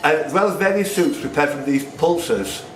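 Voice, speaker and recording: A man's voice: fairly high pitch, expressive, moderate pace. A man hosting a quiz, reading out questions to players and dropping in jokey asides. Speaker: quiz host and question setter. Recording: noisy, echoey room recording.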